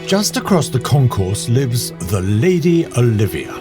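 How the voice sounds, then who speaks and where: A man narrating an audio drama in a measured, theatrical storytelling manner. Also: mid-range voice, big pitch swings, music in the background.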